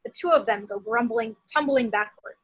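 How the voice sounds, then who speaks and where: A woman's voice: a little high, moderate pace, slightly rough. A woman reading scripted action descriptions as the narrator of a screenplay table read.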